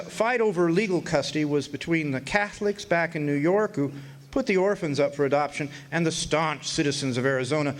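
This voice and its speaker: A man's voice: a little high, slight background noise, lightly acted. A man playing a wry, folksy narrator who recalls an old frontier court case in a radio drama, with hesitant storytelling.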